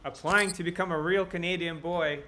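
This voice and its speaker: A man's voice: high-pitched, fairly even intonation, a little fast, heavy background noise. A man in his twenties, raised in Russia and Israel, reading out viewer questions in a casual livestream and laughing often. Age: 20s. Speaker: band member moderating a live Q&A.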